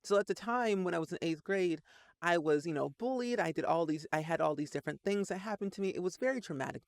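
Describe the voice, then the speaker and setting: A man's voice: fairly high pitch, gravelly, dry recording, studio quality. A man in his late twenties telling a personal story in a casual, rambling way, full of "like" fillers. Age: 20s. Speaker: Sims content creator.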